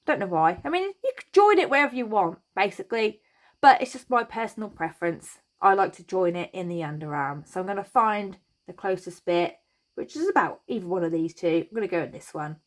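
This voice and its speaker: Woman, crochet tutorial host, chatty, reassuring, slightly croaky voice. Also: medium pitch, expressive, fairly quick. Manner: chatty and reassuring, thinks aloud while working. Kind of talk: step-by-step craft tutorial.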